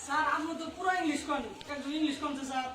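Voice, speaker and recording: Woman playing a high, fast-chattering villager. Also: fairly even intonation, a bit bright, faint music behind. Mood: amused, teasing. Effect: voice changer. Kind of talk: amateur comic skit speech. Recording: echoey, distant, crowd behind.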